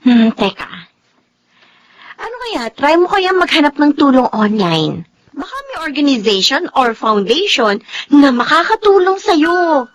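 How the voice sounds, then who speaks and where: Animated, high-voiced young Filipina friend. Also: female voice, measured pace. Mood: helpful. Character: concerned, practical young female best friend. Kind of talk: Filipino radio drama acting. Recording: faint background noise.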